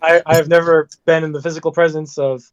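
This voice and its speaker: A man's voice: fairly high pitch, level tone, fairly quick, clean tone. A man, one of the players, chatting hesitantly with many restarts over an online voice call. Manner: hesitant, trailing off. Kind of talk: casual out-of-game chat. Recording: dull lo-fi call audio.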